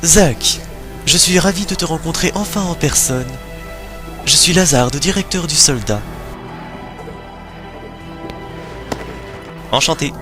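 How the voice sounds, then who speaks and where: A man voicing a suave, formal military director, polite and measured, in an amateur video game dub. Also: on the high side, lively intonation, over music, lightly acted. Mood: courteous.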